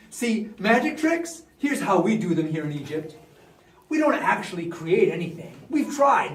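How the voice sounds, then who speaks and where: Man as a chatty high-voiced Egyptian court magician, echoey. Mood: proud. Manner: animated, conversational, acting out the other characters. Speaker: storyteller. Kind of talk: live in-character Bible storytelling, casual modern speech. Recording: echoey room, far from the mic.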